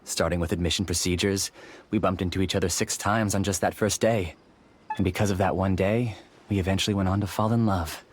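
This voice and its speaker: Man playing a deep, courteous, calculating scholar. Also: fairly even intonation, fairly quick, slight background noise, natural voice. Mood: wistful, confessional. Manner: courteous, theatrical, smooth-talking. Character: polite, calculating male scholar, obsessed and grieving. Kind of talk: video game voice acting.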